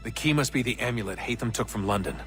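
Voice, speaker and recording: A man's voice: mid-range voice, fast talker, close to an everyday voice. An actor playing a resentful, sarcastic young American man in a video game drama. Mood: questioning. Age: young adult. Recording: loud music behind. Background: loud music.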